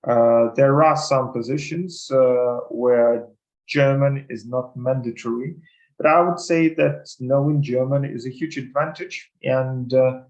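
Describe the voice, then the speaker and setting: Man, webinar host, halting with lots of fillers, dull lo-fi call audio. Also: mid-range voice, steady tone, darkish tone. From Ukraine. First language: Ukrainian and Russian. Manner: hesitant, many fillers. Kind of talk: casual advice over a video call. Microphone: video call.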